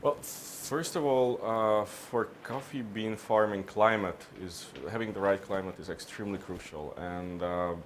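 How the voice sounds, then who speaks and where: A man from Hungary, answering business questions in a measured, halting way, often restarting his phrases. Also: on the low side, fairly even intonation, a little hiss or hum. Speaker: interviewee, business consultant. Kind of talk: on-camera business interview.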